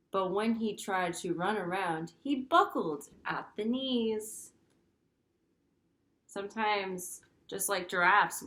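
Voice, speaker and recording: A woman teacher reading a picture book aloud to children, expressive and pausing to explain things simply. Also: medium pitch. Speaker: primary school teacher. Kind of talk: read-aloud to children with commentary.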